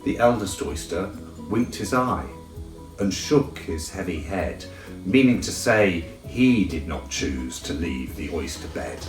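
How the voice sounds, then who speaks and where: A man narrating a children's storybook in an expressive, theatrical way. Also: low-pitched, slow, reverberant, distant mic, soft background music, low-quality recording. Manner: theatrical, animated. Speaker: audiobook reader.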